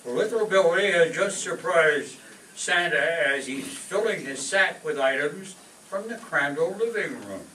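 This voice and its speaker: A man announces a radio play from a script in a high, bright voice. The recording is echoey and slightly distant, with faint noise. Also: expressive, measured pace.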